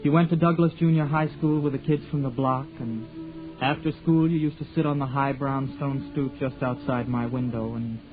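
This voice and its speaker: A man playing a shy, halting young man. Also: mid-range voice, steady tone, over music, natural voice. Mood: wistful. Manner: halting, stammering, soft-spoken. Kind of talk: 1940s radio drama with narration. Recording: tinny 1940s radio broadcast.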